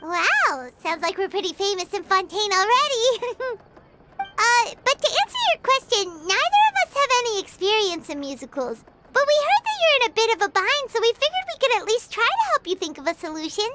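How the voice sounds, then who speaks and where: Tiny fairy sidekick, very high-pitched, animated, third-person chatter, loud background music. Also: female voice, fairly quick, heavy background noise. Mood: chipper. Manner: bubbly, talks about herself in the third person. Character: tiny floating female fairy-like travel companion, chipper. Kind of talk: video game voice acting.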